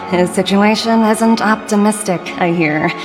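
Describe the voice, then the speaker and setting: An actress plays a brisk, no-nonsense corporate manager in a game, crisp and in charge, at a middle pitch. Loud game music runs beneath her voice. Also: close to an everyday voice. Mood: weary. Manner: crisp and authoritative. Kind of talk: game voice acting.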